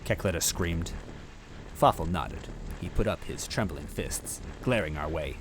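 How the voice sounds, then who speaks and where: A man's voice: deep voice, expressive, a little slow, a little hiss or hum, rough recording. A man narrating a story aloud in a dramatic first-person style.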